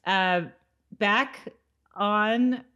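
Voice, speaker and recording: A woman's voice: mid-range voice, extremely slow, pushed and bright, clean tone, lo-fi sound. A woman co-hosting a podcast, recapping in an informal, giggly way, sometimes reading from a summary. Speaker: podcast co-host. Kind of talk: casual recap chat with read-aloud summaries.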